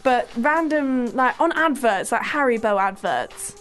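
A woman's voice: a little high, animated, measured pace, fairly bright tone, soft background music. A woman, co-hosting a radio show in casual, playful banter. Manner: playful, laughs easily. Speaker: radio co-host.

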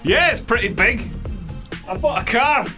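A man from Scotland, a comedian guest on a radio show, joking in a dry, deadpan way. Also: very high voice, unhurried, music in the background. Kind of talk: casual studio chat.

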